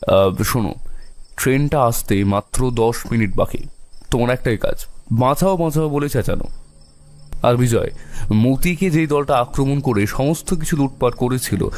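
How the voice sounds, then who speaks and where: A man playing a composed detective who gives brisk orders to his companions in an audio story. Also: mid-range voice, a little mellow, lightly acted.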